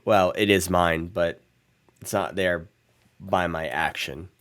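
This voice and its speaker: A man playing a guarded vampire in a tabletop game, talking hesitantly with many pauses and restarts. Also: low-pitched, a bit bright. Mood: apologetic. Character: male vampire, guarded and rambling.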